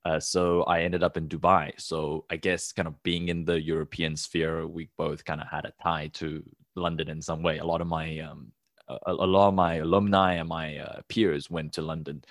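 A man's voice: very deep voice, flat delivery, moderate pace, no echo, studio quality. A young man co-hosting a casual podcast, talking in loose rambling sentences with fillers and restarts. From Taiwan. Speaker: podcast co-host.

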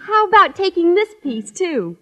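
A woman's voice: extremely high pitch, big pitch swings, moderate pace, bright, forceful tone, exaggerated voice. An actress playing a mischievous, eager teenage schoolgirl, girlish and gleeful, in a 1950s radio sitcom. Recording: old radio show before audience.

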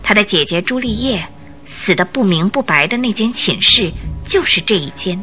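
A woman giving expressive, suspenseful scripted narration for a detective radio drama. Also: mid-range voice, normal speed, soft background music.

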